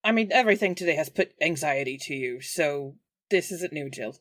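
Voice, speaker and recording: Lowish pitch, deadpan, matter-of-fact, calm blunt adventurer woman acting, dry close sound. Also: brisk, no echo.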